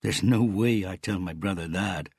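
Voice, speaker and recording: A man's voice: deep voice, normal speed, vocal fry, darkish tone, slightly rough, no room sound, clean studio sound, full character voice. A man reading an audiobook as an anxious, sarcastic young man with a defensive, edgy way of talking. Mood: nervous, defensive.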